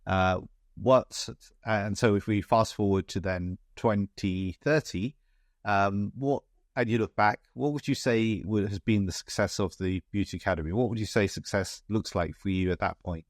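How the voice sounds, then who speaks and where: A man hosting an interview show, asking measured and encouraging questions. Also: lowish voice, steady tone, no echo, clean studio sound. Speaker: podcast host.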